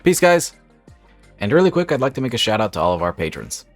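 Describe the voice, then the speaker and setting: A man's voice: mid-range voice, very animated, fast talker, no echo, faint music behind. A young man with a cold and a slightly stuffy voice, hosting a gaming channel and walking through a game mod step by step in an upbeat, casual voice-over.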